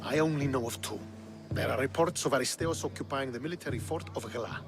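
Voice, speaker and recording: An actor playing a stern, dismissive Spartan army commander in a video game, speaking curtly and skeptically. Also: male voice, medium pitch, quick pace, creaky, over music, natural voice. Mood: grave, businesslike. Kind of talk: dramatic video game dialogue.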